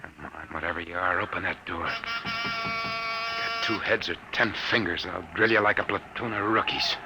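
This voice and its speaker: An actor playing a tough, stubborn investigator with a brusque, clipped manner in a 1950s radio drama. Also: male voice, sits low, very animated, normal speed, over loud music, rough recording. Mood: defiant. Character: tough, stubborn, hard-boiled male investigator. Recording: hissy vintage 1950s radio recording.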